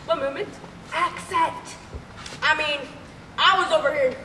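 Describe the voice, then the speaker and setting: A woman's voice: high voice, measured pace, sharp tone, distant mic. A woman playing a blustery country farmer man with oddly genteel words in a comic stage radio play. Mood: earnest, flustered. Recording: noisy, echoey stage recording from afar.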